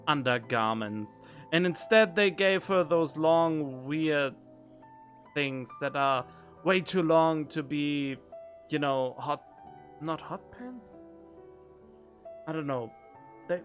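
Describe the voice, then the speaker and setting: Slow-paced, animated male game streamer. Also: a little high. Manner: musing aloud, talking back to the game. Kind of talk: casual playthrough commentary. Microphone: close studio condenser microphone. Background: game music.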